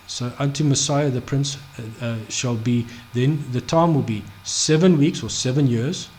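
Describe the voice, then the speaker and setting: Halting man explaining scripture. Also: mid-range voice, darkish tone, slight background noise. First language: not Hebrew. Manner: halting, many restarts and fillers, checks in with "okay?". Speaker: Bible teacher. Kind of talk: unscripted screen-share Bible study.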